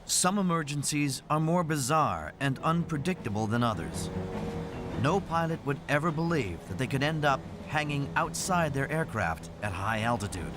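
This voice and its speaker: A man narrates a dramatic documentary from a script. His pitch is medium and moves in a lively, expressive way. Music plays clearly behind him. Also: normal speed.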